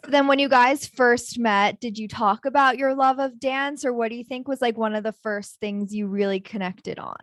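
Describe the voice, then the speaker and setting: A woman's voice: fairly high pitch, moderate pace, few pauses, dry recording. Upbeat young woman host, dry sound. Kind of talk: interview questions.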